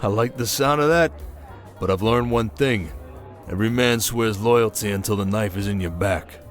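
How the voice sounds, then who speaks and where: A man's voice: fairly low pitch, moderate pace, soft background music, natural voice. A man playing a jovial, sardonic mob boss in an ASMR audio drama, talking in casual slang with joking swagger. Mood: wary, tough. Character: brash streetwise male mafia boss, jovial, sardonic.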